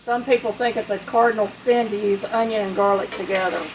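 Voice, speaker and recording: Female home cook, mid-pitched voice, chatty folksy delivery, recorded in a kitchen with noticeable sizzling behind. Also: steady tone, a little fast, rough recording. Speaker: home cook hosting a recipe video. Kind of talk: casual cooking demonstration. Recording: clear background kitchen noise, frying sizzle. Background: sizzling skillet, stirring.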